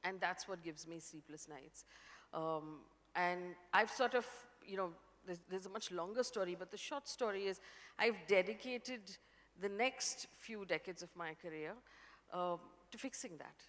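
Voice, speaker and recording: A woman in her forties with an Indian English accent, a journalist speaking on stage, articulate and measured. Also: lowish voice, a bit bright. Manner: articulate, measured, light laughs. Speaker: journalist guest. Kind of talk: conference fireside chat. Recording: reverberant conference hall.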